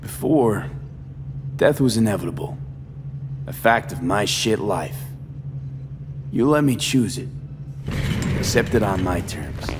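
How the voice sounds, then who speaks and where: An actor playing a blunt, bitter and resolute mercenary who is terminally ill, in a dramatic video game scene. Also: male voice, lowish voice, animated, slow pace, pauses often, background noise. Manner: blunt, coarse-mouthed. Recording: music behind the voice. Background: game score.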